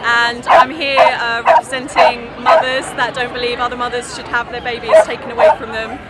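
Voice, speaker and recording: A woman's voice: on the high side, flat delivery, fairly quick. An English woman in her thirties giving a street interview outdoors at a march. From eastern England. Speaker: march participant. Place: outdoors on park grass. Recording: very noisy outdoor crowd recording.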